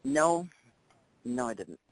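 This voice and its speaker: A man's voice: medium pitch, animated, takes it slowly, dry recording. A man playing a middle-aged dentist with an American accent, giving a hesitant, matter-of-fact witness report in a simulated phone call. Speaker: caller reporting an incident.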